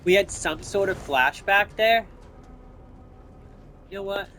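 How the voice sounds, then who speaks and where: A man's voice: sits high, takes it slowly, sharp tone, a little hiss or hum. A man giving casual gameplay commentary as host, thinking aloud and speculating as he goes. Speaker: gamer host of a gameplay video.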